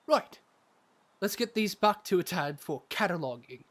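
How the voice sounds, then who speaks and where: A man playing a good-hearted, earnest adventurer in a fantasy audio drama, with a halting delivery. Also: sits high, fairly bright tone, heavily acted. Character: good-hearted, thick-skulled married man on fantasy mission. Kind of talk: fantasy audio roleplay acting.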